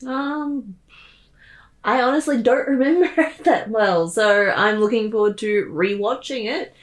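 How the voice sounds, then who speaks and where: A woman's voice: fairly high pitch, measured pace. A woman chatting casually as a co-commentator, giving short, informal reactions.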